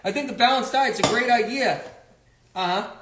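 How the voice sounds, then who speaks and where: Man putting on a smug young bragger, mocking sing-song, high voice, echoey room. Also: moderate pace, noisy background. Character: smug young man bragging about his balanced diet.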